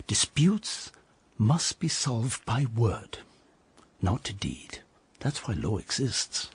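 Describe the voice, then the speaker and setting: Comic radio play: a stuffy upper-class dad, husky and slow, pitch rising and falling. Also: male voice, very animated, full character voice. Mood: lecturing. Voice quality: husky, measured, pompous. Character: stiff old-fashioned upper-class English father. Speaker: father. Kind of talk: comic radio drama acting.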